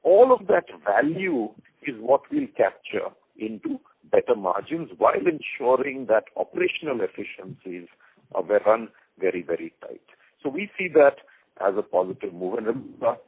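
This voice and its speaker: An Indian man explains business to analysts as a senior executive. His delivery is lively and emphatic, with a slightly husky, creaky voice. The sound is thin, like a conference phone line. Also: mid-range voice, moderate pace. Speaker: company executive on an earnings call.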